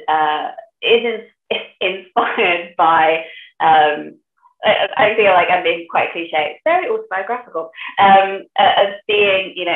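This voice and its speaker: A woman from the northeast of England speaks with an English accent in a fairly low voice. She talks conversationally as a guest writer. The sound is narrow and phone-like, with a clear echo of the room. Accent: English, with a lost Geordie accent put on for the poem. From Sunderland, northeast England. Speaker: author and poet, guest. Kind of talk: conversation and poetry reading.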